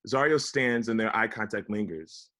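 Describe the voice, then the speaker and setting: A man's voice: fairly low pitch, lively intonation, moderate pace, fairly bright tone. A man reading stage directions aloud as the narrator of a scripted table read.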